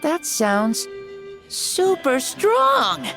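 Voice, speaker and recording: A woman's voice: extremely high pitch, wildly swooping pitch, drawn-out words, creaky, heavily acted. A woman voicing a naive, curious little child in a video game, wide-eyed and drawing out words. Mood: wonder. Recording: game music under the voice.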